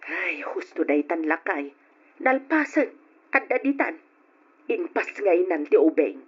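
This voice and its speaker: An actress playing a patient mother who calmly reasons with her husband in an old radio drama. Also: lowish voice, a little slow, slightly rough, heavily acted. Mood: soothing. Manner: soothing. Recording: dull old radio recording.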